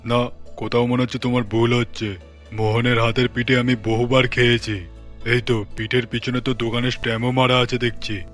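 A man's voice: medium pitch, flat delivery, fairly quick, fairly bright tone, soft background music, voice pushed down, lightly acted. A performer voicing a blunt elderly uncle, a man who is the family elder in a cartoon story. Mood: surprised, suspicious.

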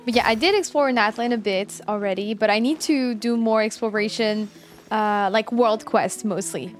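Female game streamer, twenties: slightly high voice, casual chat, teasing sarcasm, faint music behind. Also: moderate pace. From France. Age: 20s. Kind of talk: casual live gameplay chat.

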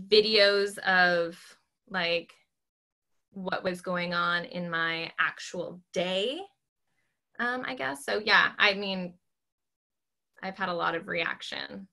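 A woman in her thirties, a real estate agent, chatting on a video call from home in a squeaky, animated voice full of ums. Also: takes it slowly, pauses often. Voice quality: squeaky, high-pitched voice. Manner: animated, talks with her hands, many ums. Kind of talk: casual video call chat. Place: small bedroom at home, indoors. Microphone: laptop webcam on video call.

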